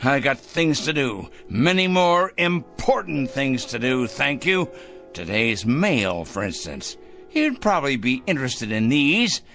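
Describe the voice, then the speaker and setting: एक अभिनेता एक घमंडी, खुद को धर्मी मानने वाले अधेड़ उम्र के सनकी आदमी की भूमिका निभा रहा है, जो पुराने टीवी नाटक की रिकॉर्डिंग में हल्के संगीत के साथ है।. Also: male voice, medium pitch, unhurried. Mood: sanctimonious. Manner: pompous, sanctimonious, lively. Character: self-righteous middle-aged male letter-writing crank. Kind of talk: 1960s TV drama acting. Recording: old TV soundtrack with faint music.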